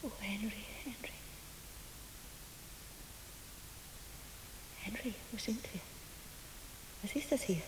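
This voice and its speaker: A woman's voice: mid-range voice, normal speed, natural voice. A woman playing an anxious, fearful wife in a radio drama, hesitant, repetitive and pleading. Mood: bewildered, afraid. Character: anxious, fearful wife creature, clinging and doubting. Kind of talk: German radio drama acting. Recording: hissy background noise.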